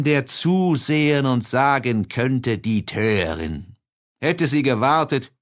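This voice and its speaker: A man narrating a classic novel as an audiobook, reading expressively with deliberate, dramatic phrasing. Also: a little high.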